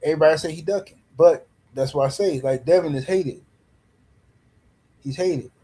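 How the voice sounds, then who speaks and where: Guy running a boxing live stream, kinda high voice, jokey and rambly, keeps repeating himself. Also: normal speed, dark tone, breathy edge. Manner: loose, teasing, rambling with repeated words. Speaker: boxing livestream host. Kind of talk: casual live-stream commentary.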